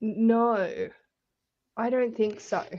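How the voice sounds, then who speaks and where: A woman co-hosting a book club livestream, chatting casually with animated reactions and frank slang. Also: fairly high pitch, slow, lo-fi sound. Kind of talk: casual chat.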